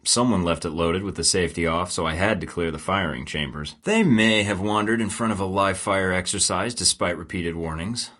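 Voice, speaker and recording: A very deep, sardonic game spy. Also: male voice, brisk, few pauses, fairly bright tone. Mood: wry. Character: wry male secret agent, young adult. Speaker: video game protagonist. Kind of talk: game voice lines. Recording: studio game dialogue.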